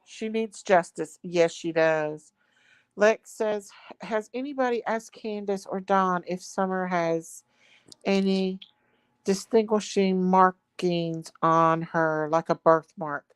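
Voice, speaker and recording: A woman's voice: fairly low pitch, takes it slowly, smooth, no room sound. A woman hosting a casual livestream, reading viewer comments aloud and greeting people by name. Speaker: livestream host.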